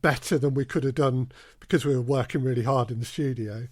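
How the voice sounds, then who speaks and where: A man's voice: medium pitch, fairly even intonation, a little fast, no echo, studio quality. A man speaking as an audio drama producer in an interview, with hesitant, stop-start phrasing. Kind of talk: interview conversation.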